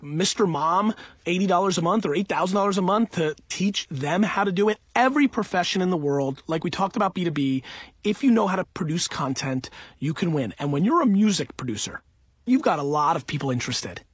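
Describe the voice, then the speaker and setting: A man's voice: high voice, expressive, fairly quick, breathy edge, no room sound. A man of about forty giving blunt, emphatic, informal advice in an off-the-cuff monologue. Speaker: audiobook author answering listener questions.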